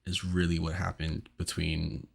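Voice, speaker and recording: A man's voice: deep voice, completely flat delivery, measured pace, gravelly. A young man hosting a casual advice podcast, chatty and teasing, with lots of fillers. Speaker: podcast host.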